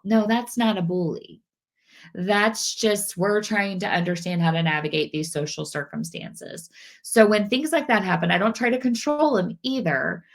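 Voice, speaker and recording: Woman host, middle-aged, lowish voice, nasal from a cold, earnest, loose and repetitive. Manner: earnest, repeats phrases, many restarts. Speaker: parenting podcast host. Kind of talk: casual talk to camera.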